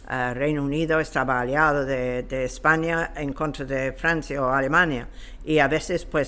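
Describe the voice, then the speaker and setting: Gravelly-voiced man, halting interview talk. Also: medium pitch, pushed and bright. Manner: hesitant, halting, searching for words. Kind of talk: explanatory interview talk on history.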